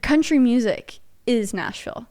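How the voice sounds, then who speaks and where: A woman's voice: on the high side, expressive, moderate pace, warm tone. A woman from Texas with a slight Texan drawl, hosting a casual podcast chat and laughing often. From Dallas, Texas. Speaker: podcast host.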